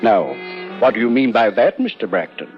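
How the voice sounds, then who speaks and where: A man's voice: mid-range voice, sing-song, normal speed, over music. An actor playing a formal, probing male doctor in an old radio drama. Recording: muffled old radio recording.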